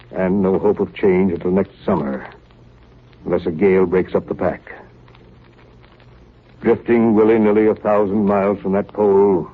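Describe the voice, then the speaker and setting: Weary male polar captain, low husky voice, measured theatrical delivery, thin old radio sound. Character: weary, brooding male captain of an icebound ship. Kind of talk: 1930s radio drama acting. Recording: tinny, muffled 1938 radio recording.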